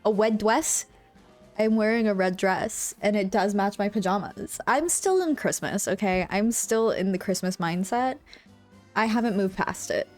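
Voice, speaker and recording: A young woman talks to her live viewers from home in a bubbly, rambling way, stringing thoughts together with "like" and "um". Her voice sits at an ordinary middle pitch and sounds a little congested. She speaks into a desk mic. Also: normal speed, faint music behind. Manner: bubbly, chatty, lots of "like" and "um". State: a bit congested. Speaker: streamer. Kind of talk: casual chat with live chat.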